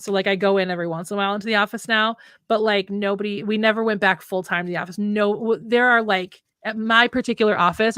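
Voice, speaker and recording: A woman's voice: mid-range voice, fairly bright tone, no room sound, clean studio sound. Rambling, reflective woman in her late thirties. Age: late 30s. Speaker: writer co-hosting a live stream. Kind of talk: casual chat.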